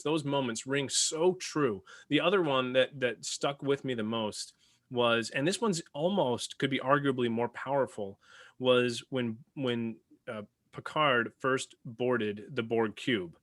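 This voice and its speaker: A man's voice: mid-range voice, a little slow, fairly bright tone, no echo, clean studio sound. A man talking casually and emphatically as a panelist in a fan discussion of a TV series. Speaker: podcast panelist.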